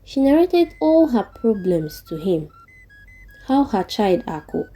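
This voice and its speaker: Dark-voiced Nigerian woman telling a folktale. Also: on the high side, very animated, takes it slowly. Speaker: storyteller. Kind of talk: scripted folktale narration. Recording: music playing behind the voice. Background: background music.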